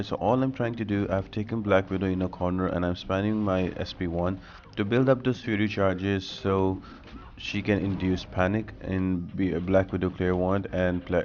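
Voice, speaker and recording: A man's voice: deep voice, a little mellow, dull audio, a little hiss or hum. A man giving a casual game walkthrough, explaining things directly to viewers as the host of a guide.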